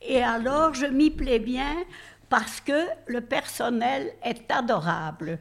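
A woman's voice: high-pitched, slow, creaky. An older woman from Belgium, a nursing home resident chatting at length with many fillers. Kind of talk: casual interview answers.

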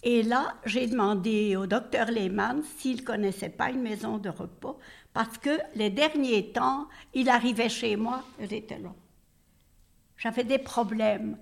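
A woman's voice: a little high, measured pace, gravelly. An older woman from Belgium, a nursing home resident chatting at length with many fillers. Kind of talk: casual interview answers.

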